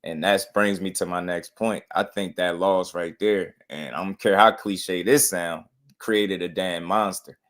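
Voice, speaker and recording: Male American podcast host, low voice, casual and encouraging, colloquial interviewer. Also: fairly even intonation, a little slow, a bit bright. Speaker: boxing show host, interviewer.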